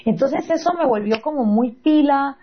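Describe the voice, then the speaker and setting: A woman with a Guatemalan accent in conversation as an interview guest, telling personal stories in an animated, emphatic way. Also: high-pitched, normal speed, highs cut off. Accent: Guatemalan Spanish.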